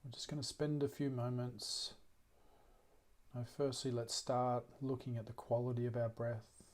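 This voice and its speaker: Even-toned man guiding a meditation. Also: mid-range voice. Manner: gentle, unhurried, with long pauses. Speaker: meditation teacher. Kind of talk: guided meditation.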